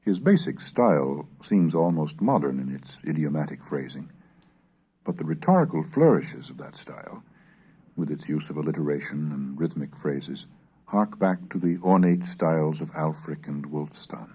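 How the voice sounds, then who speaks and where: A man reading scripted scholarly material aloud in a deliberate, declamatory manner, like a lecturer on a recorded course. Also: extremely low pitch, lively intonation, mellow, slightly rough. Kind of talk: scripted narration and dramatic reading of Middle English texts. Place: recorded narration on cassette. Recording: dull, lo-fi 1970s cassette recording.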